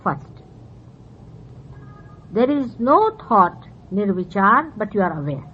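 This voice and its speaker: A woman from India whose first language is Marathi speaks with an Indian accent, explaining spiritual ideas earnestly to her listeners and pausing often with fillers. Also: mid-range voice, big pitch swings. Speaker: spiritual teacher. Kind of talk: spiritual talk to followers. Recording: dull old 1970s tape with faint hiss.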